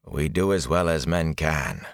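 A man voicing a stern male lord who speaks slowly and dryly. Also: a bass voice, no room sound. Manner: slow, dry and measured. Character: stern, dry-spoken male border lord.

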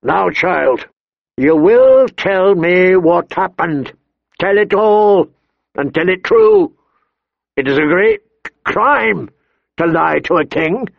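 A man voicing a gruff, irritable bearded king in an audiobook, thick-voiced, blunt and imperious. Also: high-pitched, very animated, takes it slowly, long pauses, no echo, lo-fi sound, pitched above the performer's own voice, heavily acted. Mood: stern, irritated.

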